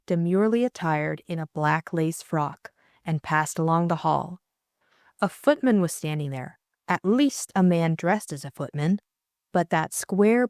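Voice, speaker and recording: Female audiobook narrator, lower register, measured literary delivery, close dry studio-style sound. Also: darkish tone, dry recording.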